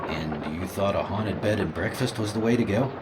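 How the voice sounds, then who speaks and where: A man's voice: low-pitched, normal speed, very noisy, natural voice. An actor playing a sarcastic, wisecracking male guest in a horror audio drama. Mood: teasing. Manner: sarcastic, joking. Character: flippant, wisecracking horny young male guest, Alec.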